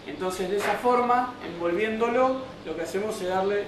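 A young Argentine man hosts a cooking show in an unscripted way. His voice is high for a man. It echoes around him, with clear ambient noise. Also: moderate pace. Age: young adult. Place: winery kitchen and open-air patio. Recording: echoey, distant mic, noisy ambience.

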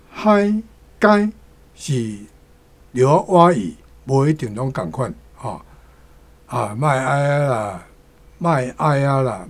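An older man with a Taiwanese accent commenting on literature as a guest, savouring and repeating phrases. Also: a little high.

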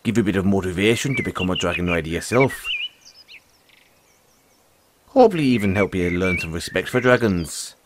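A man's voice: sits low, animated, a little fast, long pauses, background noise. Man playing a folksy, lilting dragon rider mentor. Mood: thoughtful, persuading. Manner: folksy, earnest, musing, says "aye" and "lad". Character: friendly, philosophical male dragon rider, gentle mentor. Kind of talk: audio roleplay addressed to the listener.

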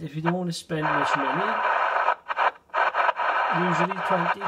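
A middle-aged man with a British accent talks through a piece of kit in a casual, low-key way. His voice sits a little high for a man and has a clearly husky edge. He is unhurried and conversational, like a hobbyist hosting his own video. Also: a bit bright, low-quality recording. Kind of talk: casual product demonstration. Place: small indoor space, shed.

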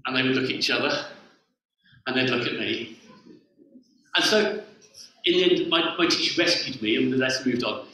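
A man's voice: medium pitch, lively intonation, moderate pace, long pauses, sharp tone. A man in his forties, a vicar giving a playful all-age sermon and directing his congregation through games. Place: church hall with a lectern. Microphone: far camera on the floor. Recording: echoey church from far off.

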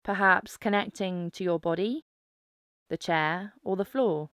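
Slow, smooth-voiced woman guiding meditation. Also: on the low side, no room sound, studio quality. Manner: calm, instructive, unhurried with pauses. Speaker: guided meditation instructor. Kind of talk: guided mindfulness meditation narration.

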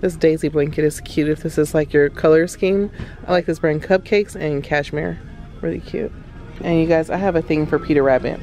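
A woman's voice: low-pitched, moderate pace, a little mellow. A woman in her thirties vlogging casually, talking directly and familiarly to her viewers. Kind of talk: casual chatty vlog. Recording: music behind the voice. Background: background music.

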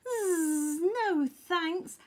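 A woman's voice: very high-pitched, very slow, pitched above the performer's own voice, full character voice. A woman voicing a busy, polite little female honeybee, buzzing between her phrases in a children's storybook.

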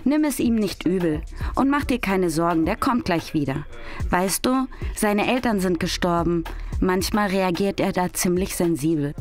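A woman's voice: fairly low pitch, animated, normal speed, faint music behind, lightly acted. A woman playing a tough but caring, teasing young girl in an audio drama. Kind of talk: audio drama dialogue acting.

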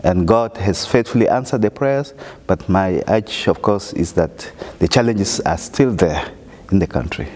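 Middle-aged church leader from South Sudan, earnest and measured, clip-on mic, reverberant hall. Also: male voice, sits low, a little mellow. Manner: earnest, measured, emphatic pauses. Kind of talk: interview testimony. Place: indoor chapel with wooden wall.

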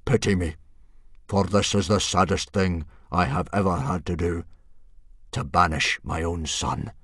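A man voicing a grim middle-aged Viking chief who makes solemn pronouncements in a booming voice. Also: a little slow, darkish tone, no echo, studio quality. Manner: bellowing, grim and formal.

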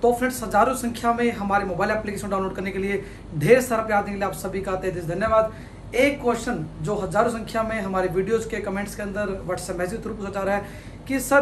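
Guy in his 30s on a lapel mic, rattling off college advice fast, bit of room echo and faint hum. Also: high voice. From India. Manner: fast, energetic, gestures with hands while explaining. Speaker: education counselor. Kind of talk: direct-to-camera advice video. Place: small indoor studio. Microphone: clip-on lapel mic. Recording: echoey room with faint ambience.

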